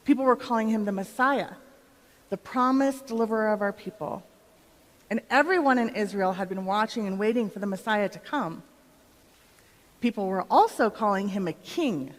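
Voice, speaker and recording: A woman playing an excited eyewitness to Jesus, telling her story directly to listeners in a live church drama. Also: medium pitch, moderate pace, lightly acted. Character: excited woman of Bethany, Lazarus's sister, witnessing Jesus. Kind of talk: dramatic monologue in a church performance. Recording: reverberant hall.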